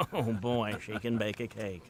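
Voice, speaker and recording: An actor playing a nostalgic 1930s barbershop regular in a podcast radio drama. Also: male voice, fairly low pitch, unhurried, a little hiss or hum, lightly acted. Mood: reminiscing. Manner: reminiscing, chuckling. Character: nostalgic 1930s small-town barbershop regular, cake-loving.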